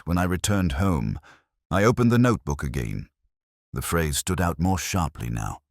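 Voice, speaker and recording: Male narrator, extremely low voice with lively intonation, measured and formal delivery for literary detective fiction. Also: no room sound, clean studio sound. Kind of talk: scripted first-person mystery narration.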